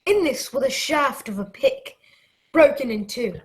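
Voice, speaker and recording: A young boy narrator in a radio adventure play, played by a woman. The voice is high and swings animatedly in pitch. He tells the tale like an expressive, dramatic storybook. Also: unhurried. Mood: suspenseful.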